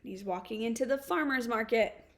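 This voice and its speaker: A woman's voice: on the high side, normal speed, a bit bright, a little hiss or hum. A woman reading a children's picture book aloud, adding short asides about the pictures.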